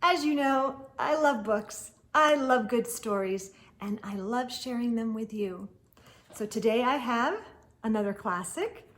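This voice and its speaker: A woman reading a picture book aloud to children as host, expressive and putting questions to young listeners. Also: fairly high pitch, a little slow.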